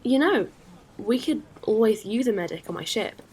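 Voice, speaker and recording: A woman's voice: on the high side, animated, normal speed, natural voice. A woman playing a supportive, practical starship captain in a science-fiction audio drama. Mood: friendly, practical. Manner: gentle, considerate.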